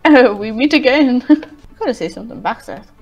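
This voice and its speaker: A woman gamer chatting casually with friends in an excitable, exclamatory way, over voice chat. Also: a little high, normal speed. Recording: music mixed over voice chat. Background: music.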